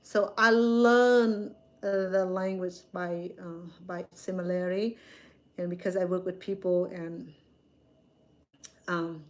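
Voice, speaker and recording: A woman's voice: lowish voice, steady tone, takes it slowly. Older woman, a chef streaming live to her viewers from a phone; chatty and rambling, often restarting her sentences. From Cambodia. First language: Khmer. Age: 60s. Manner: chatty, rambling, frequent self-corrections.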